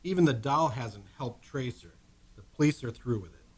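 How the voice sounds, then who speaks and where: A man's voice: mid-range voice, lively intonation, moderate pace, husky. An actor playing a matter-of-fact male morgue attendant in an old-time radio drama. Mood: grim.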